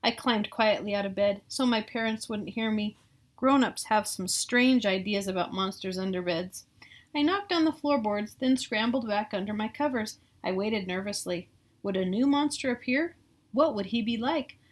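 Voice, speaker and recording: A woman's voice: mid-range voice, normal speed. A middle-aged woman with an American accent reads a picture book aloud, adding casual asides. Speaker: storybook reader. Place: small room at home.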